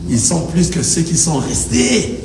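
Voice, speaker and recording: High-voiced preaching man in echoey hall. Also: sing-song, unhurried, a crowd in the background. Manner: emphatic preaching with call-and-response. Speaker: pastor. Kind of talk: sermon. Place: church hall. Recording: echoey hall, distant mic, faint noise. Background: congregation answering amen.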